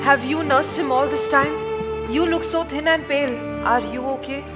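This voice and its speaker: A woman playing a gentle, kind-hearted young lady. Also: high voice, moderate pace, close to an everyday voice. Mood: earnest. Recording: long reverb with music.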